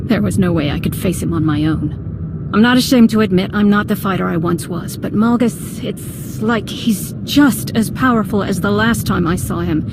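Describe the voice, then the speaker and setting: A woman's voice: mid-range voice, moderate pace, noisy background, natural voice. An actress playing a guarded, blunt former Jedi woman protective of her family in a video game. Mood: grave, haunted. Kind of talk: scripted video game voice acting. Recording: loud music under the voice.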